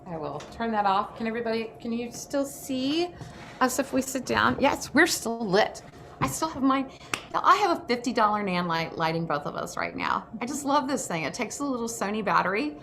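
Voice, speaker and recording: A woman's voice: a little high, expressive, brisk. A woman hosting a casual workshop, presenting in an informal, chatty and emphatic way from an indoor room. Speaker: host and photographer. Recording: noticeable background noise.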